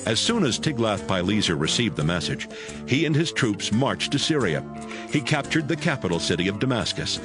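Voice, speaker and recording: A man narrating a dramatized audio Bible as a scripted storyteller. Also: fairly low pitch. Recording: music under the voice.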